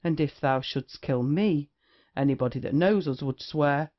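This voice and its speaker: A witty, swaggering young rake played by an actor in a period stage comedy for audio. The voice sits at a middling pitch with flippant, old-fashioned phrasing. The recording is slightly dull and lo-fi. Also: unhurried, lightly acted. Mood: cocky, taunting. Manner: archaic, swaggering, flippant speech. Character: brash, witty young male rake, swearing casually.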